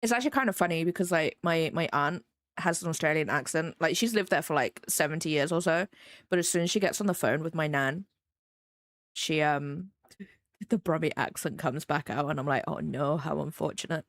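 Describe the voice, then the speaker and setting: A woman's voice: low voice, fairly quick, dry recording. A young English woman with a faint Midlands accent, chatting casually with her live-stream chat while she draws, rambling and self-deprecating. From England.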